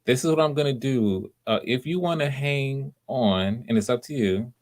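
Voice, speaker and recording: A man hosting live auditions, giving casual, encouraging coaching in a halting way with repeated phrases. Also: medium pitch, a little mellow. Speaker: host of live voice auditions, director giving notes.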